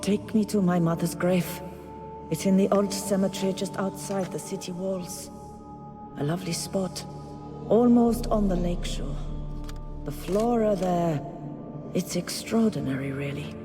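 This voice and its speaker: Woman acting a slow, haughty, pious ghost noblewoman. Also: on the low side, over music, natural voice. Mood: pleading, wistful. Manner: haughty, theatrical, formal, indignant. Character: pious, sanctimonious nagging noblewoman ghost, long-suffering wife. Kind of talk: video game dialogue acting. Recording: long hall reverb.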